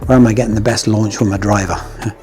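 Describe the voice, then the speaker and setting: A man's voice: lowish voice, fairly even intonation, fast, a little mellow, faint music behind. A middle-aged Scottish man coaching golf in a casual, blunt and jokey way, often checking in with "yeah?". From Scotland. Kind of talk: casual instructional talk.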